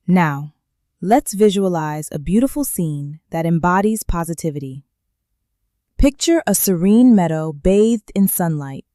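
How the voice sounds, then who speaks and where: A woman leading a guided meditation in a calm, gentle way, with slow soothing instructions and long pauses. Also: lowish voice, no echo, clean studio sound.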